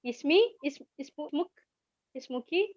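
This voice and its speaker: A woman's voice: sits high, a little slow, no echo. A woman with an Indonesian accent giving an informal language lesson, chatty and encouraging, repeating key words for learners. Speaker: webinar speaker teaching languages. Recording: dull lo-fi webinar audio.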